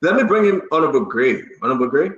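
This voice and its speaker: A man hosting a political talk show, pressing guests with probing, halting questions. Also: a little high, big pitch swings, fairly bright tone. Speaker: host. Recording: roomy, dull lo-fi audio.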